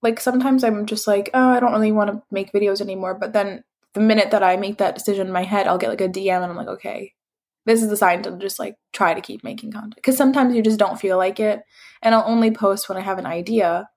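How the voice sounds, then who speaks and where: A woman's voice: medium pitch, fairly even intonation, quick pace, a little mellow. A woman guest in a casual interview, talking reflectively and candidly about her content, leaning on "like" a lot. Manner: reflective and candid, heavy use of "like". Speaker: interview guest, social media creator.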